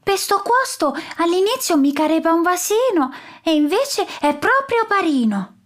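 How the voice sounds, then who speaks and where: A woman voicing a young girl who slowly mixes up her consonants. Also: extremely high pitch, very animated, voice pushed up. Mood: earnest. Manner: slow, mixed-up speech.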